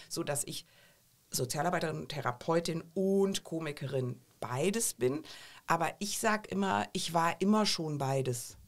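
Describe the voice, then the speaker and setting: A middle-aged woman from Düsseldorf, a comedian, talking in a playful, expressive way with deliberate phrasing on a podcast. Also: low voice. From Düsseldorf, Germany. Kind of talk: casual podcast chat.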